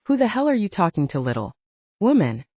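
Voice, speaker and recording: A woman voicing a gruff, hostile stepfather in audiobook dialogue, angry and loud. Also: mid-range voice, big pitch swings, a little fast, dry recording, muffled recording.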